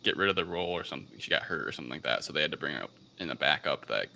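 Male podcast host, deep but very sharp and forward, casual and a little unsure in delivery. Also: expressive, rapid-fire, gravelly, slight background noise. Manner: hesitant, unsure of pronunciations. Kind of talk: casual film review podcast talk.

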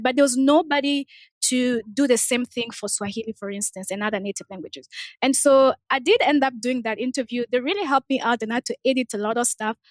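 Casting: young woman, higher pitch, dry close sound, casual storyteller. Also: no echo. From Democratic Republic of the Congo. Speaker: panel discussion participant.

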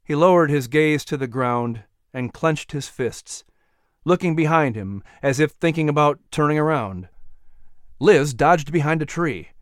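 A male audiobook narrator with a fairly high, smooth voice. He reads a dramatic historical story for children in a scripted style. The recording is very dry and close. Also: a little slow, no echo.

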